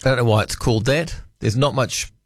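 A man with a New Zealand accent hosts a late-night talkback show, talking in a dry, offhand, chatty way. His voice sits at an ordinary pitch and is recorded close and completely dry in the studio. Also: fairly quick, dry recording. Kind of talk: casual talkback radio chat. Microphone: studio broadcast mic.

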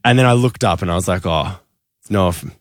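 Aussie guy in his 30s telling a story on radio, lowish voice, dry studio sound. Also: no echo. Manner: animated storyteller, quick asides. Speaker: radio co-host. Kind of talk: casual radio banter, storytelling.

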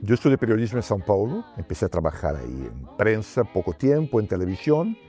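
A man's voice: lowish voice, big pitch swings, a little fast, loud music behind the voice. A middle-aged male filmmaker from Brazil, answering interview questions in a thoughtful, musing way with frequent tag questions. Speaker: documentary filmmaker.

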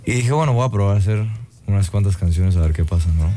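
Deep-voiced man, reflective. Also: expressive, fast, dark tone. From Guatemala. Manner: reflective, many "eh" fillers and tag "¿no?". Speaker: singer, interview guest. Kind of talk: casual interview chat.

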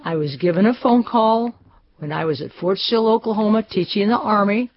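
A woman's voice: mid-range voice, a little fast. An older woman speaking proudly and emphatically in an archive interview. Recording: dull archive recording.

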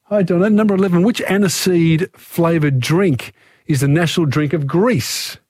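Aussie radio guy running a call-in quiz, chatty and upbeat, a bit high for a man, dead dry studio mic. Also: normal speed, a little mellow, slightly rough, dry recording. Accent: Australian. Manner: chatty, upbeat, hosts callers. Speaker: radio host.

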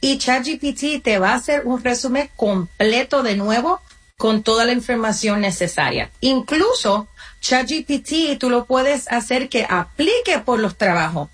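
A woman's voice: fairly high pitch, lively intonation, unhurried. A woman answering interview questions as a community organization guest, explaining her advice with examples and addressing the listener directly. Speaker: guest, community nonprofit representative.

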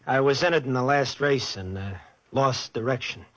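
An actor playing a glib young racetrack hustler who talks in slang, in 1940s radio style. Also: male voice, medium pitch, close to an everyday voice. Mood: guarded. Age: young adult. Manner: glib, fast-talking, slangy. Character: smooth-talking young male racetrack hustler.

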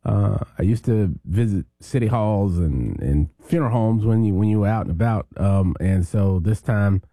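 A man's voice: lowish voice, moderate pace, warm tone, no echo, clean studio sound. A man who is a town mayor and a guest on a radio talk show, speaking in an easygoing, rambling way. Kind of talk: casual radio interview.

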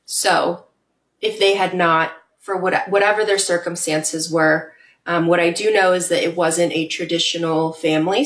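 A woman guest on a podcast speaks earnestly and reflectively, with occasional fillers. Her voice sits a little low, and the room around her sounds echoey. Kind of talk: casual interview chat.

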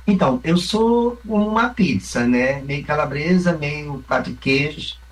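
A man's voice: on the high side, takes it slowly, far from the mic, poor audio. Thoughtful Carioca man explaining theatre. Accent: Carioca Brazilian Portuguese. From Rio Grande do Sul, Brazil. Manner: reflective, explains with examples, frequent "né?". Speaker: theatre company member, mime actor. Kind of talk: interview answers.

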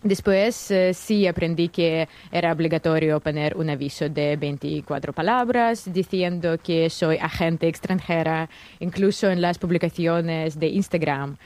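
Young Russian woman, non-native speaker with an accent, low-pitched, hesitant. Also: a little slow, darkish tone, no room sound. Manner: careful, slightly hesitant, many fillers. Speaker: guest journalist. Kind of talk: interview answers.

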